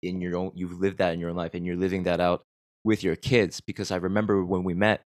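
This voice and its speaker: Man, American accent, podcast host, low pitch, dry sound, reflective, rambling. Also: flat delivery, quick pace, no room sound. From United States. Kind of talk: conversational podcast interview.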